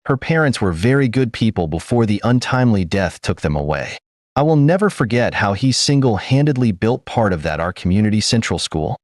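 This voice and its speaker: A man's voice: fairly low pitch, sing-song, brisk, no echo, studio quality. A man voicing an earnest young prince in a read folk tale, speaking admiringly of a girl.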